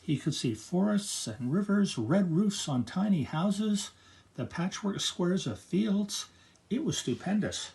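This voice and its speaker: An older man reading a picture book aloud to children as a grandfatherly storyteller, with expressive narration. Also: high voice, slow pace, creaky, a little hiss or hum.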